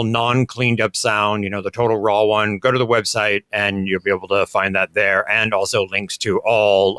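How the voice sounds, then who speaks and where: A man hosting a history podcast, chatting in a relaxed way. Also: medium pitch, no echo, clean studio sound. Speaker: podcast host. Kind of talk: casual chat.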